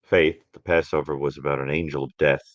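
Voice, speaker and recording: A man speaking reflectively as a guest expert in a live-stream conversation, musing and emphatic, halting and repeating phrases. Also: very deep voice, level tone, no room sound, dull audio.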